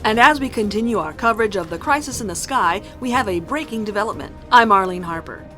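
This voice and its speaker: A woman's voice: mid-range voice, expressive, quick pace, over music, lightly acted. A woman playing a professional TV news anchor with polished broadcast delivery in a sci-fi audio drama. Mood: urgent, professional.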